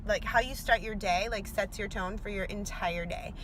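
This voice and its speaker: A young woman vlogging to her camera. She is losing her voice and sounds raspy, and she gushes with excitement and fills her talk with "like". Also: medium pitch, moderate pace, background noise. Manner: excited, gushing, many "like" fillers. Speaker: vlogger and teacher. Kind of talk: casual talking-to-camera vlog.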